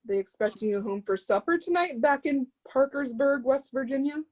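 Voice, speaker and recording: A wry, blunt woman played by an actress with a slightly high voice, in stage play dialogue. She sounds unimpressed and dry, laying out how things work in a matter-of-fact way. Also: animated, normal speed, a little mellow, low-quality recording. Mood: wry, lecturing. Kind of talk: play reading, stage comedy-drama dialogue.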